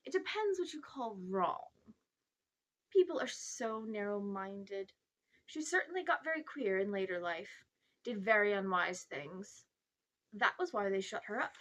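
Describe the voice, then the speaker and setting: A woman's voice: medium pitch, animated, a little slow, a bit bright. A woman voicing a pompous old uncle who dabbles in magic, condescending and evasive, in a storybook reading. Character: pompous, self-important old uncle, sly amateur magician.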